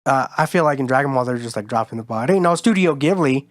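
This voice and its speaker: Hoarse male podcast co-host, easygoing slangy rambler, mid-pitched, dry close sound. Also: animated, fast, dry recording. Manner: laid-back, rambling slangy talk. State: hoarse from being sick. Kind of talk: casual chat.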